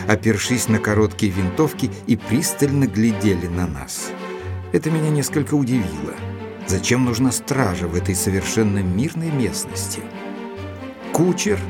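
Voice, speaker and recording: An actor playing an observant gentleman doctor, narrating his adventure in measured, literary fashion for an audio drama. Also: lowish voice, lightly acted. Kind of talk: scripted first-person narration in audio drama.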